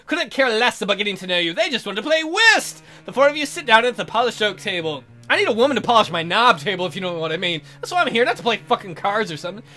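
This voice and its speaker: A man's voice: high-pitched, big pitch swings, quick pace, hardly any pauses, bright, forceful tone, soft background music. A man hosting a let's play, reading a text adventure aloud with goofy, crude asides.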